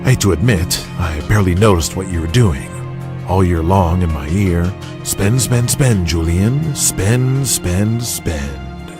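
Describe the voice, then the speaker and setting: Man playing an arrogant low-voiced company boss. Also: expressive, music in the background. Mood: contemptuous. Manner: mocking, deliberate, menacing. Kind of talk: audio drama acting.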